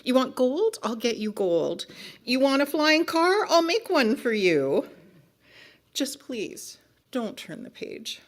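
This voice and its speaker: A woman's voice: sits high, very animated, pitched above the performer's own voice. A woman reading a picture book aloud as a frantic, bossy narrator who scolds and begs the reader in an exasperated, melodramatic way. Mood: desperate, begging. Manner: exasperated, melodramatic, emphatic pleading with drawn-out warnings. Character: frantic, bossy, pleading storybook narrator begging reader to stop. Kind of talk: dramatic children's picture-book read-aloud.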